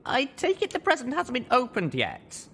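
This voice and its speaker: A man's voice: very high voice, huge pitch swings, normal speed, bright, forceful tone, a little hiss or hum, voice pushed up, exaggerated voice. A man voicing an earnest, exasperated young female pony in an audiobook, with an emphatic, incredulous manner. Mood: wary disbelief. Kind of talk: acted audiobook dialogue.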